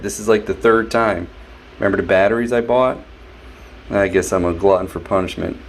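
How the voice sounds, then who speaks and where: A man casually narrating his hands-on repairs in a wry, joking way. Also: low-pitched. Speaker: host, DIY repair vlogger. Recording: clear background noise.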